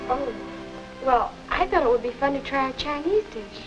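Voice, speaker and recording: An earnest young American woman, unsure about her romance, in a 1950s educational film. Her voice sits a little high and she questions herself. The old soundtrack is dull, with faint music. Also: lively intonation, normal speed. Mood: hesitant. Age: young adult. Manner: wondering, self-questioning. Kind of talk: 1950 educational film acting and narration.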